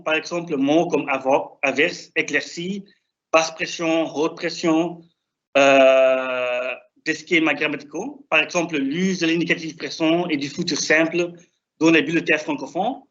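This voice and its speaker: A young man who is not a native French speaker, with a non-native accent, giving a halting, didactic academic presentation over a video call. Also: on the high side, a bit bright. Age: young adult. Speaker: doctoral researcher presenting. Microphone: video call, presenting remotely. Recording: dull, band-limited online call audio.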